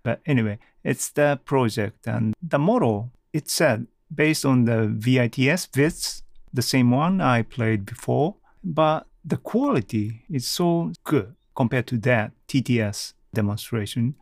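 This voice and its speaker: A middle-aged man hosting a casual solo podcast, thinking aloud haltingly in non-native English phrasing. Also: mid-range voice, expressive, no echo, clean studio sound. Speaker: podcast host.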